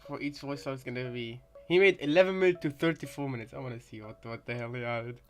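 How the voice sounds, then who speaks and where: A man's voice: mid-range voice, fast, faint music behind. A man co-commentating in casual reaction chat, with irreverent interjections. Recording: noisy home microphone recording.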